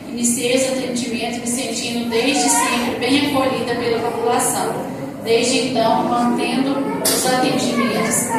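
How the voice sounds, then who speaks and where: A woman reading a prepared biography aloud at a formal session, steady with small stumbles on words. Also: a little high. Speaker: reader of honorees' biographies. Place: council chamber during a formal session. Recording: echoey hall from far off, loud noise.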